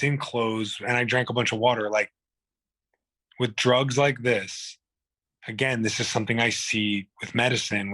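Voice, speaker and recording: A man's voice: mid-range voice, bright, forceful tone, dry recording. A man, telling his own illness story in a blunt, profane, rambling way. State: hoarse. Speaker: guest. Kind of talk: candid personal storytelling.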